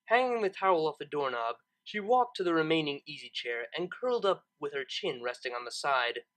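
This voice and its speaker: Lively, bright-voiced man reading a story. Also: on the high side, fairly quick, poor audio. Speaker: narrator reading fan fiction, channel host. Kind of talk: expressive storybook narration.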